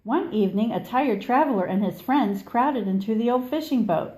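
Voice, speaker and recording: A woman reading a children's story aloud expressively, with measured delivery and dramatic pauses. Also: on the high side, animated, mellow, poor audio. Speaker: storybook reader.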